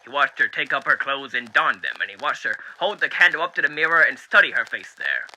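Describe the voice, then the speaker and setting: A man's voice: mid-range voice, sing-song, fairly quick, bright, forceful tone, a little hiss or hum, low-quality recording. A man voicing a genteel, eerily cheery demon radio host with showy, mannered delivery, in the style of 1930s radio acting. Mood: storytelling.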